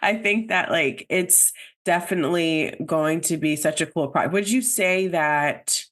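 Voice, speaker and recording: A woman's voice: low-pitched, a little slow, a bit bright. Chatty young woman interviewer. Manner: enthusiastic, chatty, giggly, lots of likes. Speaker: interview host. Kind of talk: casual interview chat.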